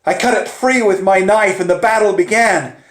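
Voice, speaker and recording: A man telling a dramatic first-person story in a theatrical manner, moving between hushed tones and urgent cries. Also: sits high, normal speed. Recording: echoey, roomy sound.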